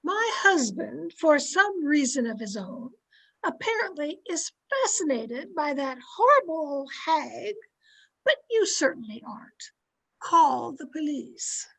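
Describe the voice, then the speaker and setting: A woman acting a bossy society wife in an old-time radio play. Her voice is high and her delivery slow and theatrical. She scolds and commands with haughty indignation. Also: very animated, gravelly, a bit bright, heavily acted, rough recording. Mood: outraged, commanding. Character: domineering, haughty society wife, easily outraged. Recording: amateur video-call recording.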